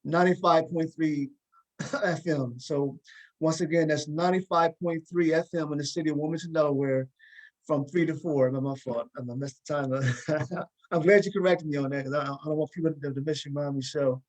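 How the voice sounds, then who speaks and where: A man's voice: a little high, steady tone, normal speed, low-quality recording. A man hosting a casual interview over a video call, often hesitating and repeating his words as he goes. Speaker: talk show host.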